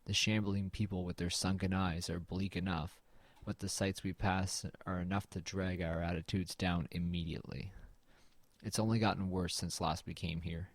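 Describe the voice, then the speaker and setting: A man's voice: low voice, flat delivery, normal speed, no echo. A man playing a weary, wry young man in an audio drama, narrating and talking in an understated, brooding way. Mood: somber narrating. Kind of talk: scripted first-person narration and low-key dialogue in an audio drama.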